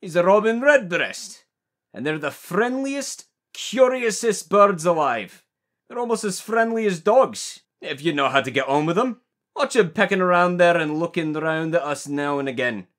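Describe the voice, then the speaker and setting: A man playing a surly old gardener who is gruff with people but fond of birds, speaking in rustic old-fashioned dialect. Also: high-pitched, expressive, moderate pace, fairly bright tone. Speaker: actor in an audio drama. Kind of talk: amateur audio drama acting.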